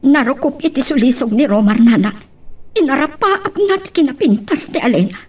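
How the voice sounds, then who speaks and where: A woman with an Ilocano accent playing a hurt young woman confiding sorrowfully in an audio love drama, in a husky voice, a little off-mic. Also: high voice, animated, normal speed, darkish tone, recorded from a distance.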